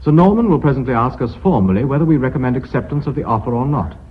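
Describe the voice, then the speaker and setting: An actor playing a cautious, principled company director in a radio drama, formal, clipped and grave. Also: male voice, medium pitch, expressive, quick pace, slightly rough. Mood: grave, resolute. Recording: dull, muffled radio recording.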